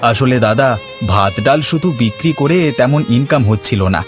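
A man voicing a humble, polite and obliging village eatery owner in an animated story. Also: mid-range voice, moderate pace, lightly acted. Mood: earnest. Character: humble, welcoming male village eatery owner, proud husband. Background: faint background music.

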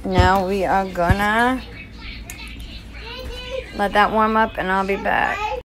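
A woman's voice: mid-range voice, slow pace. A young woman narrating as she cooks in her home kitchen, talking to the camera in a chatty, casual way. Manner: chatty, talks to camera while cooking. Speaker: cooking vlogger. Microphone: phone held or propped near stove. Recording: home phone audio with kitchen noise.

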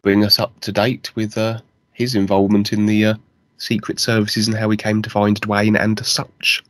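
A man's voice: fairly low pitch, monotone, normal speed, no echo. A man hosting an interview, handing over to his guest and asking questions hesitantly. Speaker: host.

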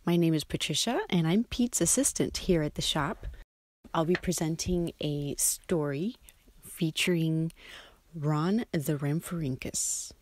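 A woman's voice: deep voice, measured pace, darkish tone. A woman reading a scripted short story aloud as a storyteller. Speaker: storyteller, shop assistant presenter.

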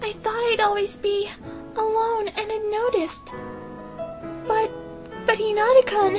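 A woman's voice: very high voice, little pitch movement, a little slow, music in the background. A woman voicing a timid, lonely teenage schoolgirl in an amateur manga fandub, hesitant, stammering and earnest. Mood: earnest, wistful. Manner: hesitant, stammering, soft-hearted.

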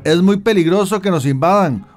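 A man's voice: high voice, moderate pace, soft background music, lightly acted. An actor playing a cautious, anxious colonial townsman in a radio drama, deferential.

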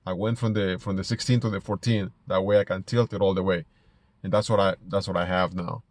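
A middle-aged man from Ecuador with an Ecuadorian Spanish accent, telling stories casually to camera in a halting way, repeating words as he goes. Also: low voice, fairly even intonation, no room sound. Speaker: small business owner vlogger. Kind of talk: casual personal storytelling to camera. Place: inside a parked car.

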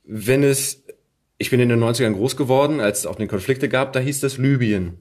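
A man in his thirties speaks as a podcast host. His pitch is average for a man, and he interviews in a loose, chatty way. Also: brisk, darkish tone. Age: 30s. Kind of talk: casual conversational interview.

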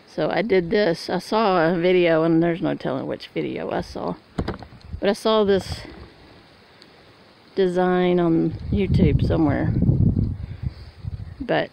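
An older woman giving a plain, unhurried show-and-tell of a project while filming with a handheld phone. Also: on the low side, creaky, highs cut off, heavy background noise. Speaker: vlogger. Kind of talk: casual walkthrough vlog. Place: inside a small truck camper, then on its roof outdoors.

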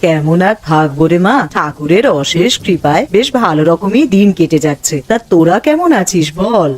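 A woman voicing a kindly elderly village grandmother in a cartoon, homely and expressive. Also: on the low side, moderate pace, slight background noise, close to an everyday voice. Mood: fond. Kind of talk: animated cartoon dialogue.

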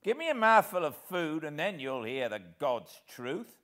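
A man reading an audiobook, putting on the voice of a rough, desperate male tramp who whines and speaks surlily and loudly. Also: on the high side, sing-song, measured pace, pitched above the performer's own voice. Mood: surly pleading. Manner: surly, loud, beggar's whine. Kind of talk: audiobook character voice.

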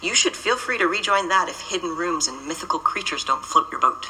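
Cool teasing explorer, low-ish and very bright. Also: female voice, lively intonation, brisk, recorded from a distance, a little hiss or hum, poor audio.

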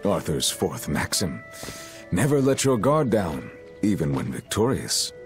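A man voicing a stern, calm swordsman mentor in a video game, measured and instructive. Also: low-pitched. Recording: music under the dialogue.

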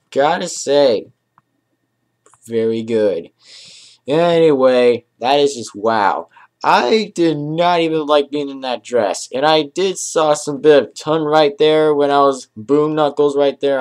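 A young adult man hosting a casual reaction video, talking in a rambling, repetitive way in a small room. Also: on the high side. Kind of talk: casual reaction commentary to camera. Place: small dim bedroom, indoors.

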